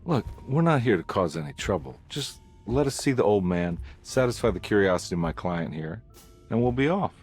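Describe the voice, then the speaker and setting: A low-voiced male private investigator, wry and unflappable. He talks with casual politeness in a game cutscene. Music plays behind him. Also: expressive, fairly quick, a little mellow, slightly rough. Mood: smooth, insistent. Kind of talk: cinematic game voice acting.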